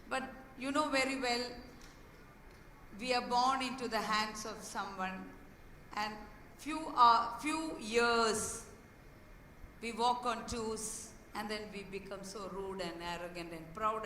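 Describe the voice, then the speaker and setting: Hoarse woman, school head, earnest event speech, bright voice, echoey hall, distant mic. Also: on the high side, slight background noise. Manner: earnest, with fillers and restarts. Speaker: guest speaker, school head. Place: large hall.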